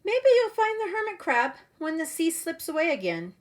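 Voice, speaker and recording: A woman preschool teacher telling a story to young children in an expressive way, with simple phrasing and direct questions. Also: very high voice, normal speed.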